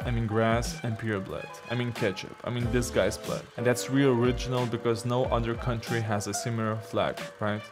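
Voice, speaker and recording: A man giving a deadpan, sarcastic voice-over for a comedy video. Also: medium pitch. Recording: video voice-over with music behind.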